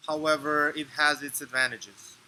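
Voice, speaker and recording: A man from Serbia in his thirties, answering interview questions in a measured, explanatory way with fillers and restarts. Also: a little high, steady tone, pushed and bright, a little hiss or hum, rough recording. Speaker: interview guest.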